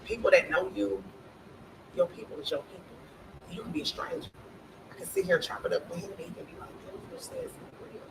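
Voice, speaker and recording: A woman in a casual group discussion with a deep, aggressive-sounding voice, talking bluntly and insistently with plenty of swearing. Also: wildly swooping pitch, quick pace. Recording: distant mic with clear background noise.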